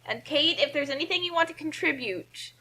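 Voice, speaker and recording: Woman, high-pitched, bright, chatty, explanatory, casual co-host commentary. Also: lively intonation, fairly quick, a little hiss or hum, rough recording. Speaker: co-host reading fan fiction aloud.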